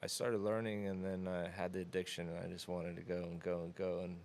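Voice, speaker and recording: A man in his thirties from the Florida Keys, talking casually as an interview guest in a relaxed, rambling way with many fillers. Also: sits low, a little fast. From Florida Keys, USA. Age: 30s. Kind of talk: casual conversation.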